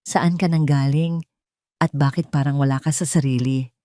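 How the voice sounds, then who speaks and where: A woman acts out an elderly mother-in-law in an audio drama. She sounds prying and coolly sanctimonious, in a lowish voice recorded dry and close. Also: fairly even intonation, moderate pace, mellow, dry recording, close to an everyday voice. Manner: prying, feigned piety. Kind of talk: Tagalog audio drama dialogue.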